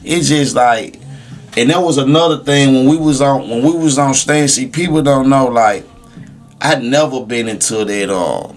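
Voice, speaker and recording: A man's voice: medium pitch, normal speed, faint music behind. A man chatting casually on a podcast, informal and emphatic with profane street slang. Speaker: podcast speaker.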